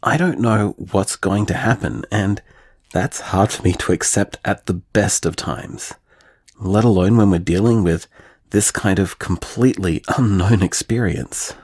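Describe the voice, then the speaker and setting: A man's voice: lowish voice, measured pace, darkish tone, husky, dry recording, clean studio sound. An actor playing a tender, anxious man confiding in his beloved in an audio drama, earnest and reflective. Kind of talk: intimate audio drama monologue.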